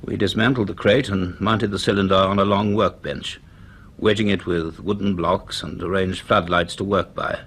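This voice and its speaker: An actor playing an earnest, commanding British university professor in a radio drama, speaking in a measured, deliberate, authoritative way. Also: male voice, deep voice, fairly even intonation, a bit bright, husky. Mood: measured, grave. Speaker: actor playing the lead and narrator. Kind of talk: radio drama dialogue and first-person narration.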